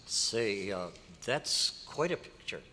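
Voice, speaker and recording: A man's voice: lowish voice, expressive, slow, pushed and bright, exaggerated voice. A man playing a polite, easygoing newspaper reporter in an old-time radio drama, mild and conversational. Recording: echoey hotel hall live performance.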